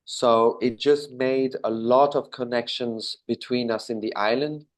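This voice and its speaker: Belgian guy in his 30s chatting on a video call, laid back, lots of ums, medium pitch. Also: unhurried. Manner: relaxed, with fillers and self-corrections. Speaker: interview guest, business owner. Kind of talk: casual interview answers.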